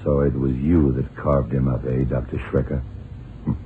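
Old blind sleuth for vintage radio thriller: extremely low voice, dry, unflappable, muffled hissy tape. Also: male voice, steady tone. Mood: dry, knowing. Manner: terse, dry, deliberate. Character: shrewd old blind male private detective, calm and unflappable. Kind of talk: 1970s radio drama acting.

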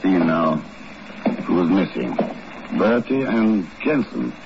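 An actor playing a suave, unflappable secret agent in a 1950s radio drama, speaking in a cool, dry, commanding way. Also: male voice, deep voice, very animated, slow pace, gravelly, exaggerated voice. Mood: businesslike. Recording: noisy, muffled old radio recording.

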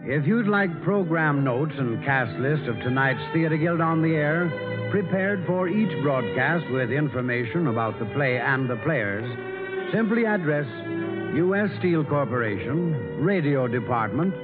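A man announcing a 1940s radio program from a script in a formal, measured way. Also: a little high, lively intonation. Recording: tinny old radio broadcast with music.

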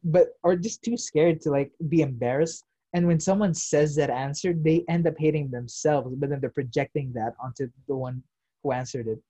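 A young man, talking loosely and at length. His voice sits dark and mellow, with a husky edge to it. He rambles through fillers and drops casual swears. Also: on the high side, normal speed, dry recording, rough recording. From United States. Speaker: podcast host. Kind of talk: casual chat.

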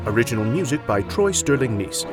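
A man announces radio credits in a scripted style. His medium-pitched voice moves up and down a great deal, with music clearly playing behind it. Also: sing-song, fairly quick.

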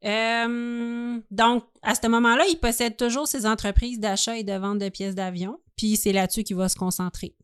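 Female podcast co-host, Quebec French accent, conversational storyteller, smooth clear voice, close dry sound. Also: fairly high pitch, fairly even intonation, moderate pace, dry recording.

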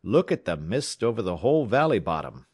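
A man's voice: lowish voice, very animated, a little fast, a little mellow, slightly rough, no room sound, studio quality. A man voicing a shaken railway chief dispatcher, grave and deferential, in an audiobook story. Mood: grim, anxious. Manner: strained, deferential.

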